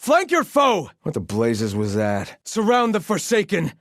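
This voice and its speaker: An actor playing a zealous, grumbling soldier loyal to a fallen king, delivering video game lines with pompous devotion and gruff scorn. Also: on the high side, huge pitch swings, measured pace, dry recording, clean studio sound, heavily acted. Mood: shouted alarm, then wary, gruff grumbling. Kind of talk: video game voice lines, battle barks and muttered remarks.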